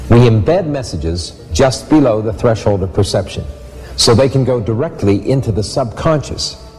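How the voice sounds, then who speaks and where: A man giving an explanatory presentation in measured, formal wording. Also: mid-range voice, animated, warm tone. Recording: long reverb, music underneath. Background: music.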